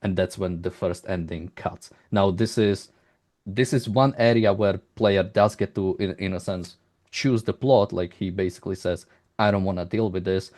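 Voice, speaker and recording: A man interviewing a developer in a conversational style, with halting, hesitant phrasing. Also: low voice, fairly even intonation, darkish tone.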